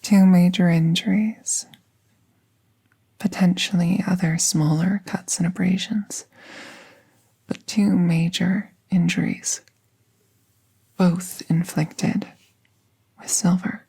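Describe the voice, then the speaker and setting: A woman playing a gentle magical veterinarian in an ASMR roleplay, soothing and reassuring in a hushed, breathy voice. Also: on the low side, fairly even intonation, takes it slowly, pauses often, exaggerated voice. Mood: calm, serious.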